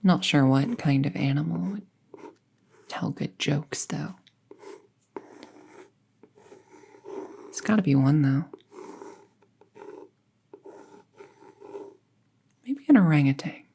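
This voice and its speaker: A young woman playing a friendly apprentice witch in an intimate roleplay audio, soft-spoken and musing, hesitant, often slipping toward a whisper. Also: low-pitched, animated, moderate pace, vocal fry, a little hiss or hum. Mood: fond, unsure.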